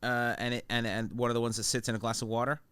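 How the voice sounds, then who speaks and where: A man hosting a podcast in a studio, chatting casually, animated and swearing freely. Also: mid-range voice, quick pace, smooth, no echo. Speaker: podcast host. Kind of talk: casual chat.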